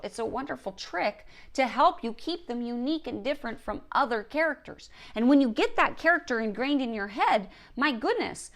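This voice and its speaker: A woman giving an instructional talk as a writing coach, stressing her points deliberately as she lists them. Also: fairly high pitch, a bit bright. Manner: emphatic, deliberate listing of points. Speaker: writing coach and show host.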